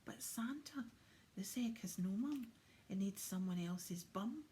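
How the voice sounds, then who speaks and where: A woman voicing small, excitable Christmas elves who cry out in short phrases in a children's storybook. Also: mid-range voice, a little slow, a little hiss or hum, lightly acted. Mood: pleading. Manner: crying out in short rhyming phrases.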